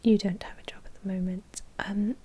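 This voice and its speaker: A woman playing a chatty, politely officious vampire bank manager in a whispered, breathy ASMR roleplay. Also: medium pitch, level tone, moderate pace, dark tone, lightly acted. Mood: polite, businesslike.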